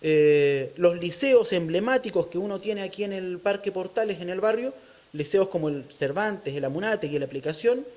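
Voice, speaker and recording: A man with a Chilean accent and a notably high voice speaks as an interviewee. He lays out his opinions in an explanatory, thoughtful way, often ending a point with a short questioning tag. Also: darkish tone. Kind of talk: thoughtful interview talk on local culture.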